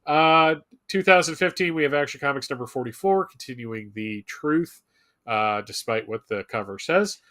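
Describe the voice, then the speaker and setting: A man co-hosting a live fan show, reading a loosely scripted rundown with hesitant pauses and wry asides. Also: mid-range voice, fairly bright tone. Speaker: show co-host presenting comics history segment.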